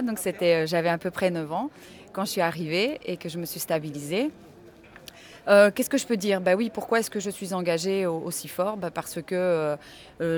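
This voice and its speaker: A woman from North Macedonia, answering interview questions earnestly and haltingly, with many fillers. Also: lowish voice. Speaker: activist guest.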